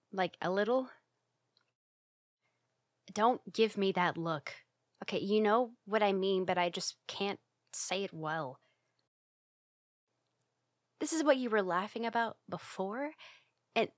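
A woman playing a bashful, secretly affectionate young tsundere roommate in an ASMR roleplay, speaking in a halting, flustered way with sighs. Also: medium pitch, no echo, studio quality, close to an everyday voice. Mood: embarrassed, indignant.

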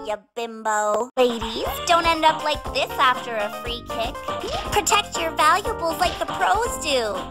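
An indignant young cartoon hedgehog girl, speaks in a very high voice. She is prim, scolding and melodramatic. Prominent jingly music plays behind her. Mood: smug lecturing. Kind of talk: fan-dub cartoon acting. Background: loud playful cartoon music.

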